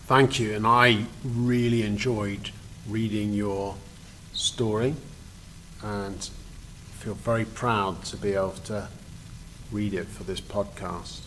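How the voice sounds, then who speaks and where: A man's voice: on the low side, takes it slowly, pauses often. Echoey, distant man reading a story dramatically. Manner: expressive, emphatic delivery. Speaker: teacher giving a dramatic reading. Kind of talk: dramatic storytelling read aloud. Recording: roomy, distant mic, faint noise.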